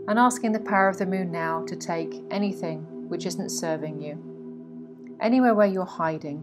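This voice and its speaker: A woman guiding a meditation in a soothing, instructive way, with frequent pauses between phrases. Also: fairly low pitch. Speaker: guided meditation teacher. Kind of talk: guided meditation narration. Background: meditation music with singing bowls.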